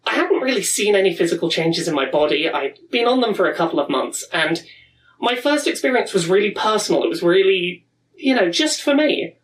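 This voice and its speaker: A woman in her thirties speaks earnestly and reflectively, pausing and restarting as she recalls personal moments. Her voice sits at a middling pitch and sounds set back from the microphone. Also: animated, far from the mic, a little hiss or hum, poor audio. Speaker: speaker at a pride event.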